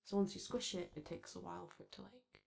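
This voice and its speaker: A woman's voice: lowish voice, steady tone, fast talker, gravelly, a little mellow. A woman playing a shy, chatty animatronic daycare attendant in a roleplay ASMR, rambling tentatively and trailing off. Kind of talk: roleplay ASMR, improvised-sounding casual chat.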